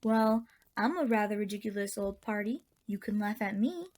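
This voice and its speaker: An actress playing a kindly, whimsical old auntie in a radio drama, gentle and wryly indulgent. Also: female voice, mid-range voice, animated, normal speed, natural voice. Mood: playful. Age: older.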